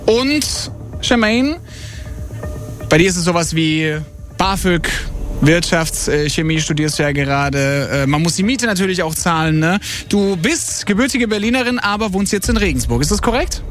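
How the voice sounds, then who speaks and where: A high-voiced male radio host with very lively intonation runs a live game show. He is informal and upbeat and likes to repeat himself, with music playing clearly under his voice in the studio. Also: normal speed. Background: music bed.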